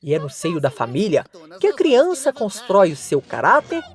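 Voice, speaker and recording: A Brazilian woman reporter reading scripted news narration in an expressive, announcing way. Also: mid-range voice, moderate pace. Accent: Brazilian Portuguese.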